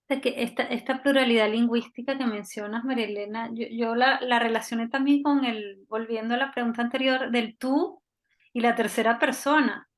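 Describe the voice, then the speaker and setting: A woman leads a literary conversation with reflective, academic phrasing. Her voice sits a little high for a woman, and she builds her questions step by step. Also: fairly even intonation, brisk. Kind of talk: conversational discussion.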